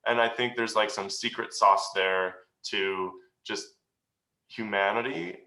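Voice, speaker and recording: A man answering questions as a guest at a meeting, speaking in an earnest, reflective way with many pauses and restarts. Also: lowish voice, fairly even intonation, gravelly, sharp tone. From United States. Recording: roomy echo.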